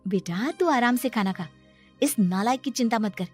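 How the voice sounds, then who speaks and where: Woman acting as scornful young stepmother, sharp scolding, raised pitch, cartoon story, music behind. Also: lively intonation, normal speed. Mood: angry scolding.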